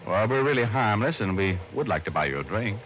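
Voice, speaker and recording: Breezy american private eye, low voice that swings around a lot, teasing, noisy old radio recording. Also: male voice, sing-song, a little fast, a bit bright. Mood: smooth, flirtatious. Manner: glib, teasing, wry. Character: wisecracking flirtatious male private detective, breezy and teasing. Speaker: private detective and narrator. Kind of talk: 1940s-50s American radio detective acting.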